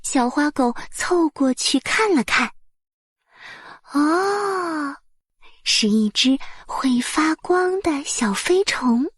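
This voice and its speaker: A woman narrating a bedtime story for small children in a soft, breathy voice, with gentle, soothing and careful phrasing. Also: sits high, expressive, drawn-out words, no echo, studio quality.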